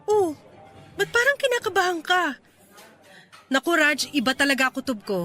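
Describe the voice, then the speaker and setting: Young Filipina romantic lead: high, bright, playful but guarded, radio drama with music underneath. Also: female voice, big pitch swings, normal speed, exaggerated voice. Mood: playful teasing. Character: young single woman, teasing but guarded, goal-driven.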